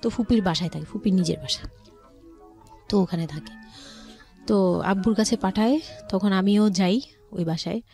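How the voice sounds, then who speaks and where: A woman's voice: mid-range voice, normal speed, pauses often, dark tone, faint music behind. A woman with a Bangladeshi accent telling her personal story conversationally as a guest, often voicing what relatives said. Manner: quotes relatives' words as she narrates.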